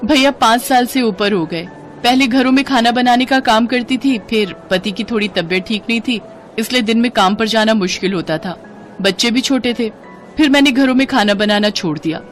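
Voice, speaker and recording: A woman's voice: a little high, normal speed, soft background music, natural voice. A woman voicing a humble, hardworking poor street food seller in a story, earnest and respectful. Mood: humble, grateful. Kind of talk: storybook character acting.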